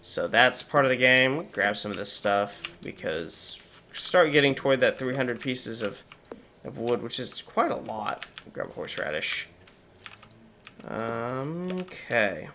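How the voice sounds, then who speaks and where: A man's voice: mid-range voice, big pitch swings, measured pace, sharp tone, a little hiss or hum. A young man with a slightly sore voice, chatting casually through game commentary with wry asides. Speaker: gaming channel host.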